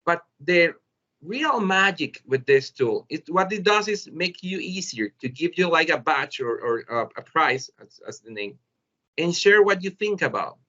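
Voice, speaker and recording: Bright-voiced Salvadoran man presenting on a call. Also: fairly high pitch, expressive. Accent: Salvadoran Spanish. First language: Spanish. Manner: halting, searching for words in a second language. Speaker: presenter, educator. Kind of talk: informal webinar presentation. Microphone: video call. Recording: dull, lo-fi video call audio.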